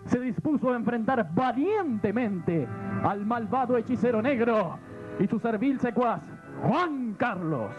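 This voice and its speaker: Man as a high-pitched mock-epic narrator. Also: lively intonation, measured pace. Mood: mock-grand. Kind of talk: scripted comic radio play narration. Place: open-sided tent at a book fair, table with microphones. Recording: dull live tent recording, music behind.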